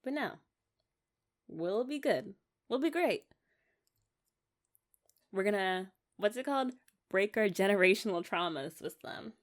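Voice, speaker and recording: A woman's voice: medium pitch, expressive, measured pace, long pauses, natural voice. A young American woman playing an eager, affectionate wife in an intimate ASMR romance roleplay, rambling and coaxing in a giggly way. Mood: tender, hopeful.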